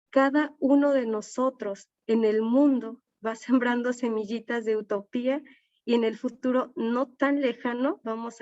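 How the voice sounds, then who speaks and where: A woman's voice: fairly high pitch, darkish tone, dry recording. A woman whose first language is Nahuatl, giving a heartfelt closing speech on an online panel. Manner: earnest, heartfelt. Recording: slightly dull online audio.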